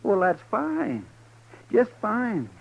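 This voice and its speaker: A man's voice: high voice, huge pitch swings, drawn-out words, vocal fry, husky, full character voice. A man playing a loyal, simple western deputy with a rural American drawl, hesitant and deferential, in a 1950s radio drama. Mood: friendly, bashful. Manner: hesitant, stammering, folksy. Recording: muffled, noisy old radio recording.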